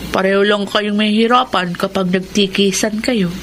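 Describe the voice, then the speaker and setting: A woman's voice: mid-range voice, steady tone, moderate pace, a little hiss or hum, close to an everyday voice. A woman acting a sensible, chiding drugstore coworker and friend in a Filipino radio drama.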